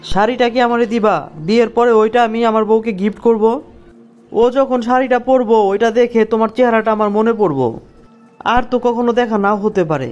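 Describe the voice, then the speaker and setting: Very high-voiced pleading young boyfriend voiced by a man. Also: fairly quick, darkish tone, muffled recording, soft background music. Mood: petty, plaintive. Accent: Bangladeshi. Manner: plaintive, pleading. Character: young jilted boyfriend, pleading, petty, mournful. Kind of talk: cartoon comedy dialogue.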